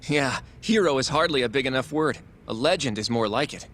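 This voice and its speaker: An actor playing an earnest, polite and somewhat awkward young colleague in an English-dubbed video game cutscene. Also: male voice, mid-range voice, expressive, a little fast, fairly bright tone, slight background noise, lightly acted. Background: background music.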